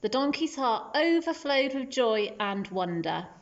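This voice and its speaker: A woman's voice: on the high side, big pitch swings, unhurried, muffled recording. A woman teacher reading a children's storybook aloud as scripted narration.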